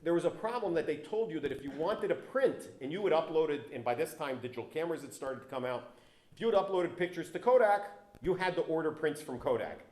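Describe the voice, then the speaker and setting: A man with a low voice giving a guest talk at an event, telling business stories with big rises in pitch and volume on key words, sometimes stepping off the mic. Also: fast talker. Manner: animated, jumps in pitch and loudness on key words. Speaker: guest speaker. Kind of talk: storytelling talk to an audience. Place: event hall with an audience. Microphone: handheld or stand mic, sometimes stepping away from it. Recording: roomy hall echo.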